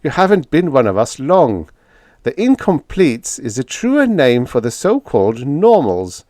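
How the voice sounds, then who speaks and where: Guy doing a young zealot for a scifi audiobook, dynamic and pushy, voice pushed higher, swoops around, really dry sound. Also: very animated, measured pace, no room sound. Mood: fervent, insistent. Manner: forceful, preachy, emphatic. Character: young fanatical demagogue, dynamic, impassioned, dangerously egotistical man.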